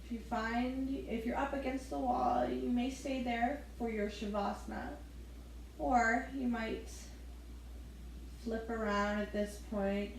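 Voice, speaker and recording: A woman guiding a gentle yoga session at an unhurried, drawn-out pace, calling out breaths and poses one by one. Her voice rings around a very echoey room, with a faint hiss underneath. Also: a little high, steady tone. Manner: soft step-by-step breathing cues with light laughs. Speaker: yoga instructor. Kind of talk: guided gentle yoga class.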